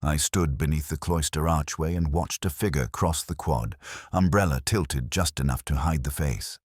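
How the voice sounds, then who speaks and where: A man giving a measured, brooding first-person narration of a detective story. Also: extremely low pitch, breathy edge, no echo, studio quality. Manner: measured, brooding, literary delivery.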